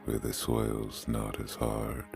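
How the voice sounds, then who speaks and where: An actor playing a solemn male reciter, reading love poetry in a measured way for an audio drama. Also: extremely low pitch, monotone, creaky, over music, full character voice. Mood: solemn, mournful.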